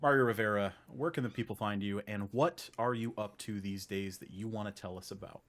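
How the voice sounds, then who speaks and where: A low-voiced man hosts a casual podcast. He chats informally and speaks his opinions plainly, in the loose manner of a conversation among friends. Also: a little fast, fairly bright tone. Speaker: podcast host.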